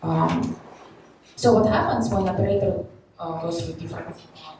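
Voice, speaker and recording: A woman's voice: on the low side, moderate pace, a little mellow, a little hiss or hum. A young woman from Kazakhstan giving a conference talk, explaining with many fillers, recorded from a distance in an echoey hall. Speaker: presenter.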